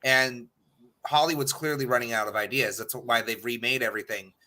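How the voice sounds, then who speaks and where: A man's voice: mid-range voice, fairly quick, bright, forceful tone. An American man giving emphatic, opinionated takes in casual panel chat, swearing freely. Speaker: podcast panel guest.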